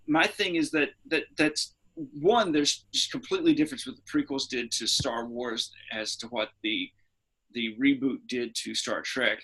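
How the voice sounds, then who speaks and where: Bright-voiced man arguing his opinions. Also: mid-range voice, moderate pace, slightly rough, poor audio. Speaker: podcast co-host. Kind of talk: casual opinionated podcast chat.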